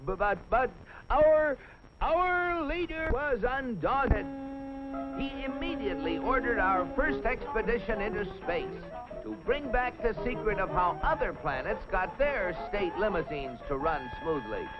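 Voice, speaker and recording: A man voicing an eager, boastful, fawning Martian space explorer who makes a grandiose speech in a 1950s animated cartoon. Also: high-pitched, measured pace, over loud music. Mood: boastful, excited. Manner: earnest, grandiose, speech-making with flustered hesitations. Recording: muffled 1950s film soundtrack.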